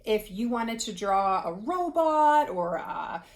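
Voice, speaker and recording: Woman, medium pitch, conversational teacher talking and reading to children. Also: very animated, slow. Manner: conversational, asks the listener questions while reading. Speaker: teacher reading a picture book aloud to children. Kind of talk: read-aloud and explaining to children.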